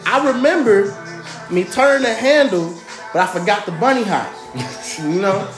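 A young American man co-hosting a podcast, telling stories casually in a slangy, animated way with some swearing. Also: high-pitched, moderate pace. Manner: slangy, animated, profane storyteller. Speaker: podcast co-host. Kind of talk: casual storytelling chat. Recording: roomy echo, faint music underneath.

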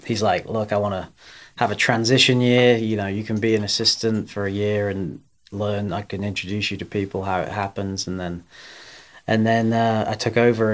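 Halting English man with a low voice. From England. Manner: halting, many restarts and fillers. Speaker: guest, golf coach. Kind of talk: casual interview.

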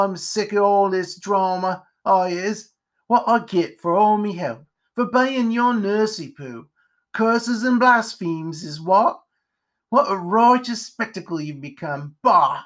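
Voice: medium pitch, a bit bright, pitched above the performer's own voice. A narrator voicing a grumbling, irritable spider in a storybook tale, scolding in folksy, ungrammatical speech. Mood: fed up.

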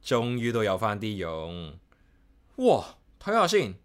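An actor playing a solitary, caring young office worker with a Hong Kong accent, narrating and chatting casually in a radio drama. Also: male voice, fairly low pitch, wildly swooping pitch, slow, full character voice. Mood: teasing, curious. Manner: casual, talks playfully to himself and pet. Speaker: lead character and narrator. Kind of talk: radio drama dialogue and inner-monologue narration.

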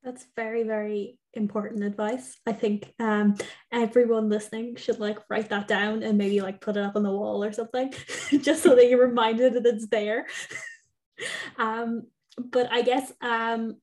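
Young woman interviewer, slightly high voice. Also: flat delivery, normal speed, darkish tone. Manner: friendly, hesitant, many fillers. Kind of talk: casual interview chat.

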